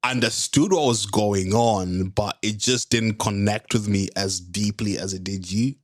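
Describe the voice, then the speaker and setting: A man's voice: lowish voice, a little slow, dry recording, clean studio sound. A man co-hosting a podcast, speaking in an easygoing, thoughtful way. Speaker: podcast co-host. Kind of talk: casual chat.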